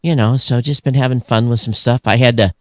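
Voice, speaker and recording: American guy cohosting a podcast, rambly casual chat, mid pitch, really dry room. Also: steady tone, brisk, a little mellow, no room sound. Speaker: podcast co-host. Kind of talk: casual unscripted show chat.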